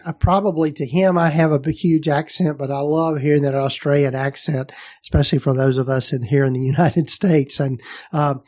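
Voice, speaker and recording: A man with a Southern US accent hosting a podcast and recapping the episode in a relaxed way, with many fillers and restarts. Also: a little high, brisk, lo-fi sound. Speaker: podcast host.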